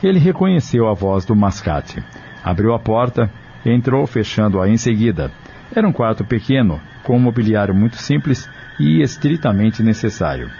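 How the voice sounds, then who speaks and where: A man's voice: fairly low pitch, big pitch swings, normal speed, vocal fry, warm tone, slight background noise. A man reading scripted narration for an audio novel. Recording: dull lo-fi radio drama sound.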